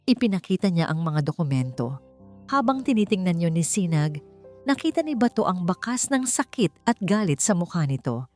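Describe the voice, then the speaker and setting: A woman reading a scripted, dramatic suspense love story in short, measured phrases. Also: fairly low pitch, very animated, darkish tone. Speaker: narrator of a story channel. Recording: clear music bed behind the voice. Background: background music.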